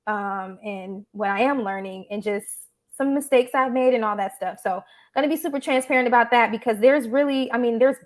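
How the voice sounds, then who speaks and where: Woman host wrapping up a livestream, kinda high voice, chatty with lots of ums. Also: brisk. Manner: warm, appreciative, chatty with fillers. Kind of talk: casual livestream chat.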